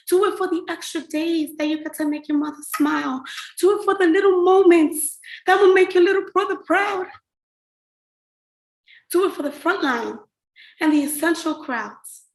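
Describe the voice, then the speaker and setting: An actress performing a rhymed spoken-word monologue with dramatic, pleading delivery, a woman in a roomy, echoing recording. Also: high-pitched.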